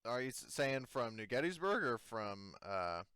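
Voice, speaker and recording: A man running a tabletop roleplaying game as game master, improvising casually with hesitant, halting phrasing. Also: mid-range voice, sing-song, fairly bright tone, smooth.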